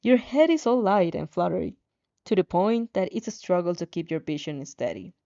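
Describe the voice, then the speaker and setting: A woman's voice: fairly low pitch, lively intonation, moderate pace, dark tone. A woman narrating fan fiction as a dramatic story reading, on slightly dull audio.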